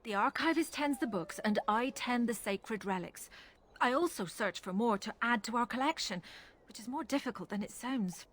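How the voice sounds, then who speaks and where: Devout nun-like sister, humble, slightly high-pitched, video game character. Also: female voice, animated, normal speed, a bit bright, a little hiss or hum. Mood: polite, humble. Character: humble, devout chantry sister and relic curator.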